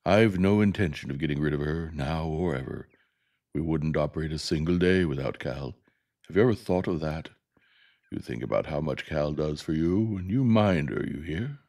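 A man voicing a firm, flinty father in an audiobook story, stern and measured. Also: rumbling low, expressive, a little mellow, heavily acted.

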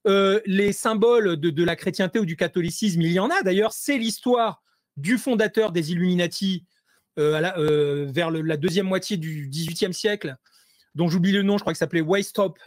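A man speaking as an interview guest over a video call, hesitant and full of fillers and restarts, growing animated when he presses a point. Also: high-pitched, no room sound, clean studio sound. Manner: animated, rising intensity when making points. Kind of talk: conversational interview analysis.

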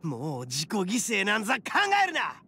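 An actor playing a brash, loyal, hot-blooded oni gang boss in a Japanese anime game, rough, cocky and gravelly. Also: male voice, high-pitched, big pitch swings, moderate pace, bright, forceful tone, exaggerated voice. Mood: resolute. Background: game music.

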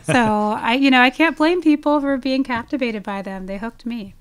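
Woman, fairly high pitch, casual interview talk, informal phrasing, dry recording. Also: normal speed, clean tone, no echo. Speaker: podcast guest, wildlife ecologist.

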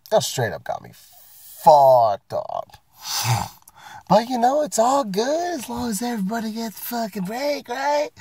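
A man's voice: medium pitch, slightly rough, no room sound, studio quality. A man, getting sick, ranting casually and profanely about his work week from inside his parked car. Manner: profane, rambling, self-mocking. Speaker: vlogger. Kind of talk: casual rant to camera.

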